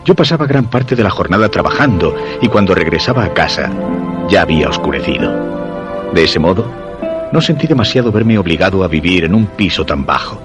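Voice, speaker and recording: A curious, insistent husband in a Spanish radio drama, with a low Castilian voice. He recounts his romance in measured, literary phrases with expressive swings, over music. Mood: measured, reflective. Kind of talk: Spanish radio play narration and dialogue.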